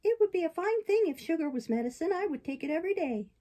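Woman voicing a whiny, high-pitched wooden puppet boy. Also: expressive, quick pace, mellow. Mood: pleased. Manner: plaintive, protesting.

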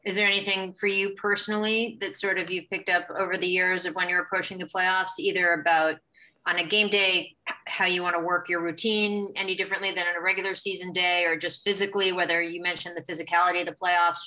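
A woman's voice: on the low side, little pitch movement, fast, pushed and bright, low-quality recording. A middle-aged woman with an American accent, a sports reporter asking questions over a video call. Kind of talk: press conference questioning. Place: indoors at home on a video call.

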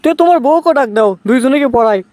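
A man's voice: extremely high pitch, animated, quick pace, a little mellow, no room sound. A man voicing an insistent, scolding village Arabic teacher with a Bogura Bangladeshi accent in a comic cartoon.

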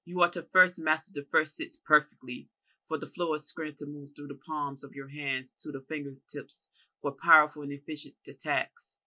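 A narrator voicing a seasoned male martial arts master who talks in a low, steady, explaining way. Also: normal speed. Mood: instructive.

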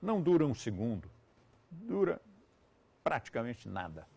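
Man, 70s, Brazilian Portuguese accent, teacher, lively intonation, ironic asides. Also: medium pitch, unhurried, creaky, breathy edge. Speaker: yoga teacher. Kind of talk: live lecture to students.

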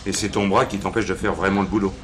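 A shrewd police inspector played by a man with a low voice and a dry, probing manner. It is French radio drama, recorded with clear background noise. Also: fairly even intonation, fast, natural voice. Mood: shrewd, sceptical. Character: shrewd, unhurried police inspector working an informant.

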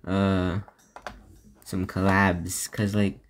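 A woman's voice: a bass voice, little pitch movement, extremely slow, a little hiss or hum. A woman with a Southern US accent giving solo gameplay commentary, rambling and talking to herself between moves. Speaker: gaming YouTuber.

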